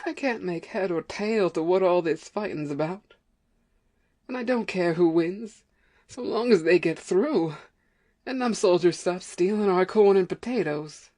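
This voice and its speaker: Overworked farm wife played by a woman, lowish voice, fretful and complaining, rustic dialect, audio drama. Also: a little slow, dark tone, close to an everyday voice. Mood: resentful. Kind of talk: amateur audio play acting.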